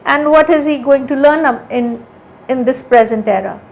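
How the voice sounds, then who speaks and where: High-voiced woman explaining earnestly. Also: moderate pace, darkish tone, slight background noise. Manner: earnest, thinks aloud with frequent restarts. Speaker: art conservator, podcast guest. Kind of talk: casual interview conversation.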